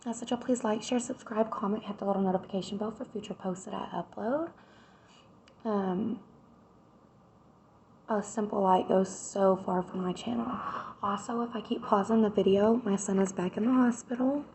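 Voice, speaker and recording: A woman, hoarse from a cold, doing a casual tarot reading and chatting straight to her viewers. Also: mid-range voice, steady tone, moderate pace, a little mellow, slight background noise. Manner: chatty, addresses viewers directly with repeated "right?". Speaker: tarot reader.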